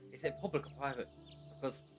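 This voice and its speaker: A man's voice: medium pitch, steady tone, fast talker, slightly rough, faint music behind, close to an everyday voice. A man playing a laid-back, teasing young companion in an audio drama. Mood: easygoing. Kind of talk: fan audio drama acting.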